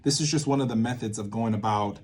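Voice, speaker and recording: A man hosting a casual vlog, thinking aloud in an informal, rambling way with sudden drawn-out, emphatic words. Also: on the low side, a little mellow. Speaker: video series host, theatre maker. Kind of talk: casual unscripted creative-process talk, thinking aloud.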